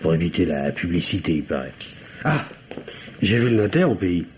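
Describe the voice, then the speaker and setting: A man's voice: sits low, very animated, normal speed. A man playing an eager, respectful police subordinate, apologetic yet persistent and colloquial, in old French radio mystery acting. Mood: eager, reporting. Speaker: radio actor. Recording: muffled, noisy old radio recording.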